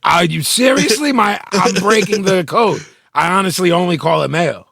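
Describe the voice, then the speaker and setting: A man with a Philadelphia accent hosting a podcast, talking in a casual, profane, animated way. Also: high voice, moderate pace, creaky, dry recording, studio quality. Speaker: podcast host. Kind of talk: casual chat.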